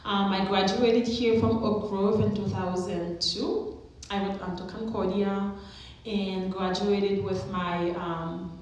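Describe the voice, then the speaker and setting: A woman's voice: medium pitch, darkish tone. A woman, giving an earnest, encouraging chapel talk to students and putting questions to them. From Haiti. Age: 30s. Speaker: chapel speaker, alumna. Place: school chapel. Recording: echoey chapel.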